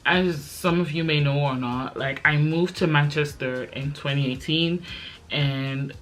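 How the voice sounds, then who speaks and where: A woman's voice: low-pitched, fairly even intonation, unhurried. Chatty rambling young woman vlogger. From Belgium. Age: 20s. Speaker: YouTuber. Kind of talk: casual chit-chat vlog.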